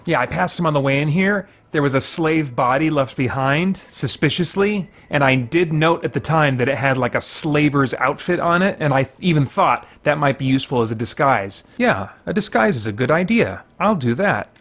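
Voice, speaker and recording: A man's voice: fairly high pitch, animated, normal speed, few pauses, fairly bright tone. A middle-aged man hosting a gaming show, giving casual commentary and thinking aloud in a rambling way.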